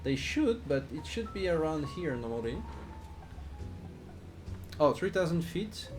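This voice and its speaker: Slow-talking man with a Swiss accent. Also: on the high side, sing-song, soft background music. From Switzerland. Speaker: flight simulator streamer. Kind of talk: casual live stream chat while playing.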